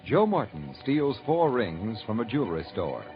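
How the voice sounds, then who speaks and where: A man announcing and recapping the story in measured, formal scripted narration. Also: lowish voice, very animated. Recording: muffled old radio broadcast with loud music.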